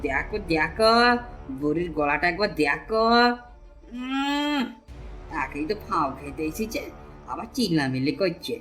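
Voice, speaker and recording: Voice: mid-range voice, big pitch swings, a little slow, pauses often, a bit bright. An actor plays a greedy village man who bullies people in a cartoon folk tale. The voice is brash, insolent and sneering, with faint music behind it. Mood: contemptuous.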